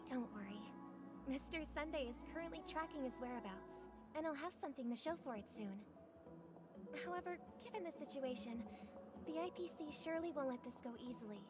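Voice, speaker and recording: A woman playing a poised, gracious young celebrity diplomat in a video game, calm and reassuring. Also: sits high, brisk. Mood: gentle, reassuring. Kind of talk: scripted video game voice acting. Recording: game dialogue over background music.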